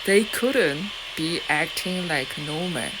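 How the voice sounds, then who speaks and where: A woman's voice: sits low, slow pace. A woman with a Korean accent talking straight to her viewers in a rambling, defiant way, swearing and full of fillers and restarts. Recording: loud steady background noise.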